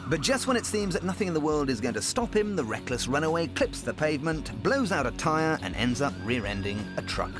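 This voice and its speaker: Wry male voice-over over lively music. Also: fairly high pitch, brisk, hardly any pauses. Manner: dry, mocking, tongue-in-cheek. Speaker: narrator. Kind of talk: wry scripted TV narration.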